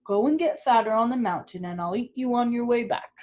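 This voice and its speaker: A woman voicing a terrible, hungry male troll who growls his threats slowly and loudly. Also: a little high, lo-fi sound, natural voice. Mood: menacing growl. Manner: growling, roaring, slow and loud. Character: terrible ugly one-eyed male troll, hungry and bullying.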